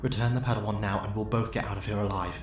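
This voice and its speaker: A man's voice: on the low side, steady tone, fast, natural voice. Man voicing an urgent, righteous Jedi master. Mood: urgent warning. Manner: urgent, pleading. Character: earnest male Jedi master, righteous and alarmed. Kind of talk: ASMR roleplay acting. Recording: echoey with faint background noise.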